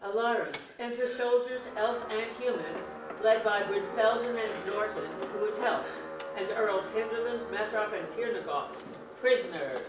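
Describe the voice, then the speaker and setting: A woman's voice: medium pitch, normal speed, music in the background. A woman reading out scripted stage directions as narrator at a staged reading of a play. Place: museum auditorium, staged reading. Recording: very echoey, noisy distant auditorium.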